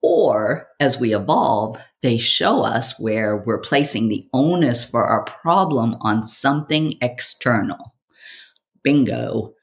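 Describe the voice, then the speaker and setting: A woman hosts a self-development podcast at a slow, deliberate pace. She delivers a scripted monologue like a storyteller, leaning on dramatic pauses and rhetorical questions. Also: a bass voice, lively intonation, creaky. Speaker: podcast host.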